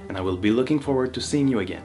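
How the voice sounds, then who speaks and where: A man's voice: medium pitch, very fast, a little mellow, faint music behind, natural voice. A man with a French Canadian accent, French his first language, playing a grand, formal steampunk baron from another reality in a scripted monologue. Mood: grandiose.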